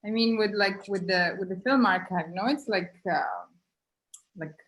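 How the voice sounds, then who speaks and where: A woman's voice: mid-range voice, moderate pace. A woman talking casually and tentatively in an unscripted discussion.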